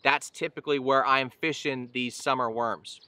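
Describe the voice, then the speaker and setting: Casting note: thirties male angler-host, bright crisp delivery, casual explainer, recorded outdoors on a boat. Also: medium pitch, steady tone, normal speed, no echo. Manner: easygoing, explanatory, frequent fillers and restarts. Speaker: fishing channel host. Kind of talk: casual instructional talk to camera.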